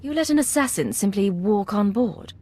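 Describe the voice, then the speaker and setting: An actress playing a cool, stern secret agent in a video game, firm and authoritative. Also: female voice, medium pitch, animated, normal speed, darkish tone, close to an everyday voice.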